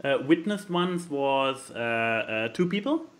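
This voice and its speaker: A man co-hosting a casual podcast, speaking haltingly and stammering as he searches for words. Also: medium pitch, expressive, fairly bright tone. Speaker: podcast co-host.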